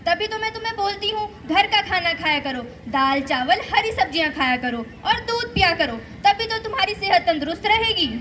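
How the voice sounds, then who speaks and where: A woman's voice: very high-pitched, lively intonation, fairly quick, few pauses, pushed and bright, heavily acted. A female performer playing a patient, instructive mother in a school stage play, reciting explanations. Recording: live stage, faint background noise.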